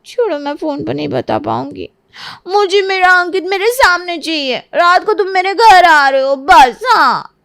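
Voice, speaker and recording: A woman's voice: very high voice, expressive, measured pace, gravelly, fairly bright tone, full character voice. A woman voicing a whiny, self-absorbed young bride-to-be with a babyish, dramatic way of complaining in a cartoon. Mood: tearful, clingy. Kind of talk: Hindi cartoon story acting.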